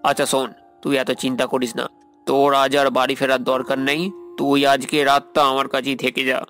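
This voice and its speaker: A man playing a skeptical young friend in an animated horror story, with questioning, theatrical delivery. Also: mid-range voice, a little fast, clear, resonant tone, soft background music, close to an everyday voice. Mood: doubtful, puzzled.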